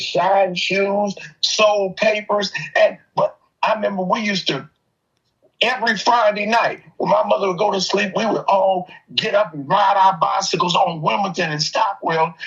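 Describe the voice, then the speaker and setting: A man's voice: sits high, a bit bright. An older man in casual, opinionated conversation, telling stories in an emphatic, streetwise way. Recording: dull, lo-fi audio.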